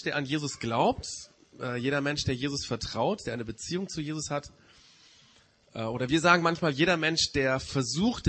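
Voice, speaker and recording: Male preacher, fairly high husky voice, slight cold, informal explanatory delivery, repeats key points. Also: fairly bright tone. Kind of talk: informal sermon to a church community.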